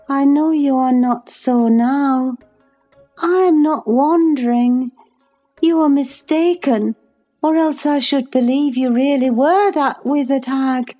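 A fragile, feverish young woman, as a woman reader puts her on, pitched high and talking slowly in a mellow, soft tone. She sounds delirious and pleading, with faint music underneath. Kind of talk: audiobook character reading.

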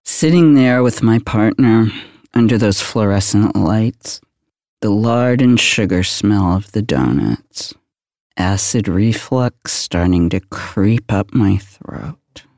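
An actor playing a world-weary older male detective, recalling things in a deadpan, brooding noir monologue. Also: lowish voice, slow pace, dry recording, studio quality. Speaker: police detective.

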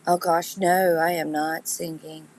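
A woman hosting a live podcast, congested and nasal, with a raspy, gritty voice, chatting casually and laughing at herself as she talks to her listeners. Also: sits low, level tone, a little slow, darkish tone, low-quality recording. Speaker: podcast host.

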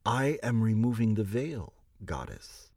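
A man voicing a serene, benevolent female owl spirit guide in an audiobook, gentle, solemn and reassuring. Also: fairly low pitch, big pitch swings, measured pace, no echo, clean studio sound, exaggerated voice.

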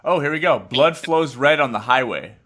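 A man's voice: medium pitch, lively intonation, normal speed, a bit bright. A man in his thirties chatting casually over a video call, swearing freely and restarting his sentences. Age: 30s. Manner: profane, rambling, restarts a lot. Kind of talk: casual chat.

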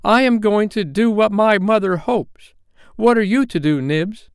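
An earnest, slightly silly little boy, played by a man, pitched high above an adult's speech. He sounds polite and swings about in pitch, in storybook style. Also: expressive, brisk, a little mellow, clean tone, no room sound, studio quality. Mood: hysterical bravery. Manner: polite, pleading.

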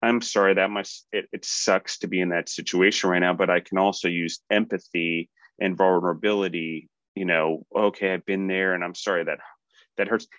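Low-voiced guy on a leadership podcast, rambly motivational talk, dry close sound. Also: steady tone, moderate pace, a bit bright, dry recording, lo-fi sound. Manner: motivational, rambling with fillers and restarts. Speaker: podcast co-host.

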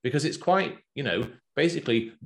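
A man with an English accent hosting a business chat, talking in a lively, loose way with plenty of fillers. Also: medium pitch, fairly quick. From England. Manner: animated, talkative, loose with fillers. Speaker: podcast host and business coach.

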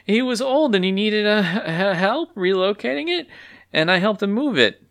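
A man's voice: high-pitched, expressive, moderate pace, a bit bright, pitched above the performer's own voice. A man voicing a frantic young female chicken bard who squawks and stammers when flustered.

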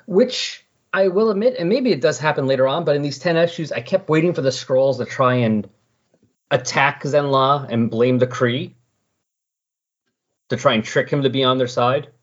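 Husky-voiced man chatting about comics. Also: mid-range voice, moderate pace. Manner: animatedly paraphrases characters' dialogue in casual asides. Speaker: podcast host discussing comics. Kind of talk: casual rambling comic-book recap chat. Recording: dull, lo-fi voice recording.

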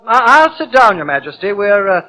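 A man's voice: high voice, expressive, a little fast, poor audio. A man playing a polite, good-natured giant traveler in an old radio drama. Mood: genial. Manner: polite, amiable, obliging. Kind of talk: 1940s radio acting and narration. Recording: old radio broadcast with loud music behind.

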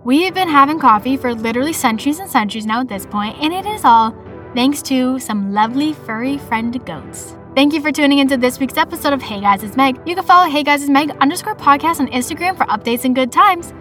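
A young woman with a Boston accent hosting a solo podcast, chatty and full of animated asides. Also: high-pitched, a little fast, soft background music. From Massachusetts, USA. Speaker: podcast host.

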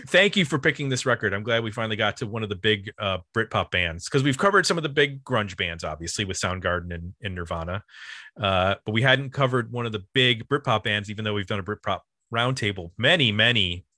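A man's voice: fairly low pitch, animated, fairly quick, bright, forceful tone, dry recording, studio quality. A man hosting a podcast, talking casually about music in a rambling, enthusiastic way. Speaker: podcast host.

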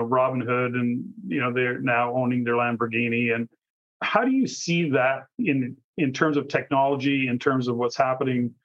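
A man hosting a conversational interview podcast, asking long, rambling, discursive questions. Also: mid-range voice. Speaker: podcast host interviewing a guest. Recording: dull, lo-fi audio.

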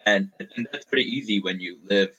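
Husky low-voiced man from Malaysia. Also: normal speed, a bit bright, lo-fi sound. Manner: frank, rambling, repeats words while thinking. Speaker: startup founder being interviewed. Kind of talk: candid conversational storytelling.

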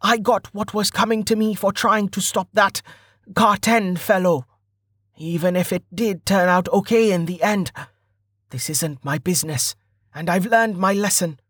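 A man's voice: sits high, unhurried, a bit bright, husky, no room sound, studio quality, pitched above the performer's own voice, full character voice. A man voicing a wary, grumbling traveller talking to himself in a fantasy audiobook. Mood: reluctant, anxious. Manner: muttered inner monologue.

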